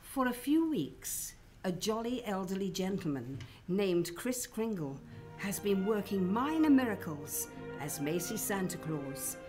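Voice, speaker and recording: Female radio play narrator, animated mid-range delivery, faint music underneath. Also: mid-range voice, unhurried. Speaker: announcer and narrator.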